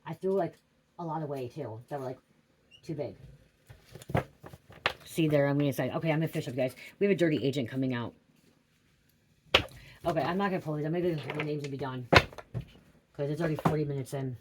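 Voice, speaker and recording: A woman's voice: low-pitched, fast, pauses often, background noise. Rambling, profane woman tarot reader. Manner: rambling, swears often, keeps asking "okay?". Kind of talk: rambling casual livestream reading.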